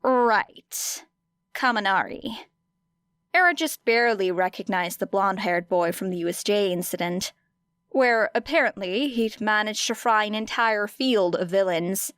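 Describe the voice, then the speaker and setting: Female audiobook narrator: dramatic, expressive, measured pace, medium pitch, dry close sound. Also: clear, resonant tone, no echo. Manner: deliberate, expressive phrasing with weighty pauses. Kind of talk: dramatic scripted fiction narration.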